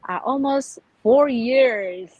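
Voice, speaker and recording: A woman from the Philippines chatting casually in an online group talk, cheerful and quick to laugh. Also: high-pitched, a little mellow, dry recording. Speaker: guest co-host.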